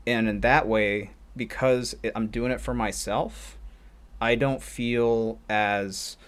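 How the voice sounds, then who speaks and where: A man's voice: medium pitch, fairly even intonation, slow pace. A man who assists as a martial arts instructor, musing on a podcast in a rambling, reflective way. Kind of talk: casual podcast chat.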